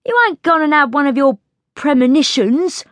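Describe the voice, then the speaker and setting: A woman voicing a quick, excitable, sharp-tongued young chambermaid who talks bossily in a storybook reading. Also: high-pitched, dry recording, voice pushed up, natural voice. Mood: cross.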